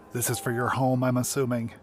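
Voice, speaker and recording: A man's voice: mid-range voice, lightly acted. A man playing a guarded, sarcastic hospital security worker in an audio drama, curt and weary. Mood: resigned. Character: bitter, guarded hospital security contractor, reluctant old friend.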